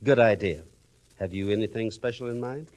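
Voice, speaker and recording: A man's voice: on the low side, brisk, a little mellow. A man hosting with scripted comic banter in a 1950s radio style.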